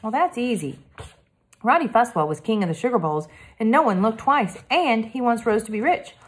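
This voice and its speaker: A woman voicing an eager young girl detective, speaking brightly and earnestly. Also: a little high, lively intonation, normal speed, voice pushed up, close to an everyday voice.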